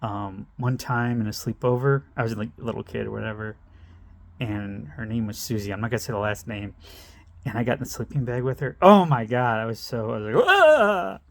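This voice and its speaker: A man's voice: fairly low pitch, steady tone, brisk, creaky. A middle-aged man telling a meandering personal story, interrupting himself and laughing at his own tangents. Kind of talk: rambling personal anecdote.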